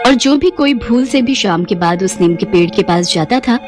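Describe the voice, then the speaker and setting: A woman's voice: mid-range voice, lively intonation, normal speed. A woman storyteller reading scripted narration for a cartoon horror story. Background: music.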